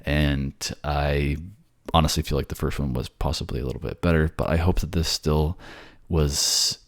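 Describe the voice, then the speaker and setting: Casting: male voice actor, rock-bottom bass, soft-spoken narrator delivery, dry close sound. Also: steady tone, moderate pace, no echo. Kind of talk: soft-spoken story narration and casual outro.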